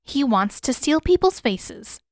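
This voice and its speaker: A woman voicing an older mother, shrewd and unyielding, with a measured, matter-of-fact way of talking. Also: high-pitched, very animated, dry recording, studio quality, voice pushed up.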